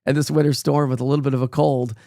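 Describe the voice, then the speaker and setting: A man's voice: mid-range voice, level tone, fast talker, vocal fry, dark tone, no echo, clean studio sound. A male meteorologist, giving a chatty, rambling forecast while losing his voice to a cold. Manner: chatty, folksy, rambling with fillers. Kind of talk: casual live weather forecast.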